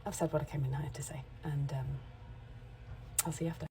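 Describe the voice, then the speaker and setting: Candid, mellow-voiced woman in her forties. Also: low voice, steady tone, brisk, soft background music. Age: 40s. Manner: candid, rambling, self-correcting. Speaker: makeup artist and creator. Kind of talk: casual chatty vlog.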